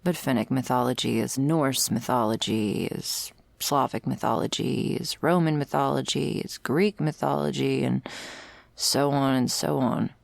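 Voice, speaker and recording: A woman playing a jaded, sardonic vampire's servant in an intimate ASMR roleplay, talking in a wry, world-weary, gravelly way. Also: extremely low pitch, measured pace, no echo, heavily acted. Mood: dry, musing. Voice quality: very creaky, gravelly. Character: jaded, sardonic female vampire's servant explaining undead lore.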